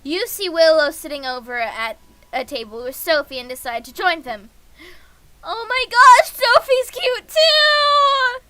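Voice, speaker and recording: Woman, very high voice, slow pace, excitable, casual game streamer reading aloud. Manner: excitable, gushing, giggly. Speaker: livestreamer reading a visual novel aloud.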